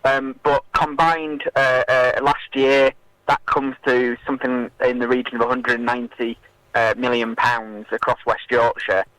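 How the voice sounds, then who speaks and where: Male activist guest for radio political interview; hesitant, stop-start delivery, middling pitch, very dry acoustic. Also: flat delivery, fairly bright tone, no room sound, rough recording. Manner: hesitant, halting with many filled pauses.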